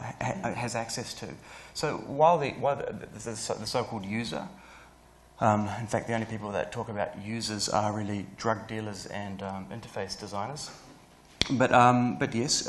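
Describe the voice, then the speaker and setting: A man's voice: lowish voice, a little hiss or hum. New Zealand man giving a lecture. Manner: fast and informal with many fillers and restarts. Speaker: guest speaker. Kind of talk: conference talk. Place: lecture hall with a podium. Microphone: lapel mic.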